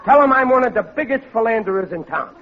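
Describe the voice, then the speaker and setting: A man's voice: extremely high pitch, lively intonation, fast talker, background noise. An actor playing a sheepish, bumbling middle-aged American working-class husband and father, stammering and blustering in 1940s radio comedy style. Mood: glum, scheming. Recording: muffled, phone-like old radio recording.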